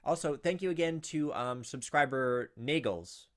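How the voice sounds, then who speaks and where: A man's voice: medium pitch, measured pace, fairly bright tone. Chatty man thinking aloud over gameplay. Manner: chatty, thinks aloud with frequent ums and likes. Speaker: let's-play host. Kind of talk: casual gameplay commentary.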